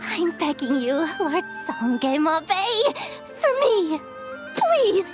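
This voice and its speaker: A woman's voice: very high voice, very animated, takes it slowly, music in the background, full character voice. A woman voicing an anxious, long-suffering, polite young female manager in a video game, hesitant and imploring in manner. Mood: pleading. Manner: hesitant, pleading. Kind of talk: video game character dialogue.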